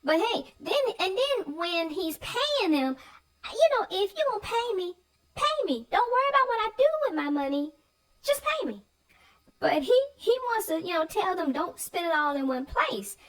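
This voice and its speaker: High-pitched, very animated woman co-host. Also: moderate pace, low-quality recording. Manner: informal, opinionated. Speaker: read-aloud show co-host. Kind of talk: casual discussion of a children's story.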